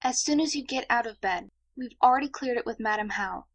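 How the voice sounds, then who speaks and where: A woman's voice: a little high, fairly quick. A woman playing a timid, earnest young princess with a hesitant, stammering manner in an audio drama. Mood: nervous, earnest. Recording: music behind the voice.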